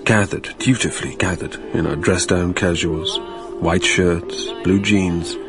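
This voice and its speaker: A man playing a weary business traveller, narrating a radio drama in a measured, wry way. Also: low-pitched, steady tone, music in the background. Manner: measured, wry, repetitive phrasing. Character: weary, flight-fatigued businessman narrating his haunted night.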